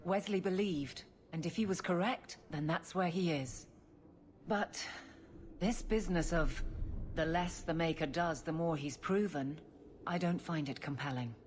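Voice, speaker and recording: Firm no-nonsense guardswoman from a game, lowish voice, earnest n steadfast, bit of noise behind. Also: unhurried, a little mellow. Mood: somber, resolute. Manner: earnest, firm, plain-spoken. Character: steadfast, blunt guardswoman rising to guard captain. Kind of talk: video game voice acting.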